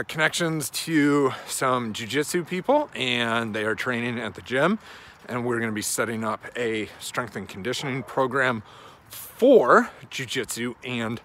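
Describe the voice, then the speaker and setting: A man's voice: mid-range voice, fairly bright tone, slight background noise. A man in his thirties, a coach, rambling casually and thinking aloud as he walks outdoors, talking into a handheld phone. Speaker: strength and conditioning coach. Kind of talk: casual walking vlog monologue. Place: outdoors on a suburban residential street. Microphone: phone held at arm's length.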